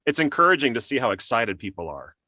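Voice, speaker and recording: A man's voice: medium pitch, sing-song, fast talker, pushed and bright, dry recording. A man hosting a casual interview, chatting as a fellow voice actor with his guest.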